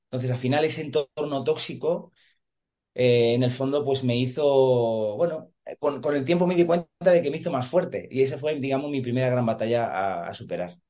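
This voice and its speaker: A man in his forties from Madrid talks earnestly on a video call, stumbling through fillers and restarting his words. His voice sits at a mid pitch for a man. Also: normal speed, warm tone. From Madrid, Spain. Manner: hesitant, many fillers and repeated words, earnest. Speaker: podcast guest, police officer and emotional coach. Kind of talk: casual conversational interview.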